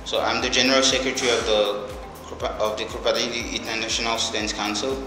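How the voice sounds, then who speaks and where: Young male student from Nigeria, flat lowish delivery, heavy room echo. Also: fast talker. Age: young adult. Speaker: student council general secretary. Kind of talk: self-introduction to camera. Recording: very echoey hall, music behind.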